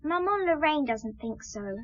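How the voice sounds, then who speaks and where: A very high, childlike voice of a trusting, chatty little girl in a radio play. She speaks candidly in simple phrases, with lively swoops, on a slightly dull recording. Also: unhurried, exaggerated voice. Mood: curious. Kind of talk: radio drama acting.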